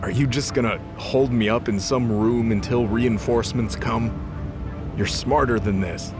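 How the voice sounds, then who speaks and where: A man's voice: mid-range voice, steady tone, measured pace, natural voice. A man voicing a wounded god in an audio drama, weary, wry and defiant, with a dry coaxing manner. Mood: wry pleading. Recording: music bed behind voice.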